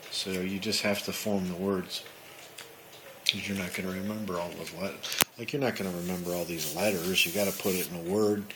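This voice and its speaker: A man with a fairly low voice talks casually as he works an amateur radio. His delivery is halting, in short bits of running commentary. Loud radio noise with static and Morse tones sits behind him. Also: fairly even intonation.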